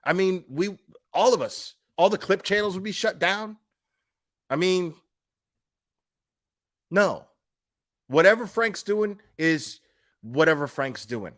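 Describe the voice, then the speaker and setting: A man's voice: high-pitched, expressive, slow, long pauses, a bit bright. A man giving casual, opinionated commentary as a streamer, speaking in a blunt, emphatic, foul-mouthed manner.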